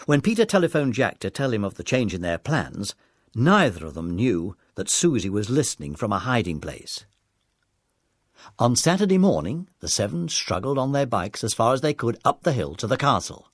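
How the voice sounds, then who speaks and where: Lively male storyteller, dry studio sound. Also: mid-range voice, normal speed, no room sound. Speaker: narrator. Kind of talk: scripted children's audiobook narration.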